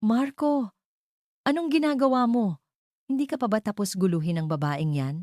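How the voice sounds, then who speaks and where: A woman's voice: a little high, moderate pace, a little mellow, no echo, clean studio sound, pitched above the performer's own voice, natural voice. A woman voicing a stern, disgusted older rich mother in an audio drama, hard and accusing. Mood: outraged.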